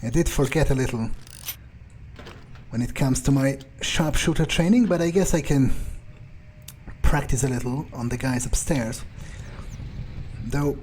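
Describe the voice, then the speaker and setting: A man's voice: medium pitch, a little slow, pauses often, a little mellow, a little hiss or hum, lightly acted. A man voicing a cocky, bloodthirsty newly turned vampire, thinking aloud in a profane, musing way during a video game roleplay. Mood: predatory, relaxed. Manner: musing, arrogant, profane, hesitant pondering. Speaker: player character in a roleplay playthrough. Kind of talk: in-character video game playthrough commentary.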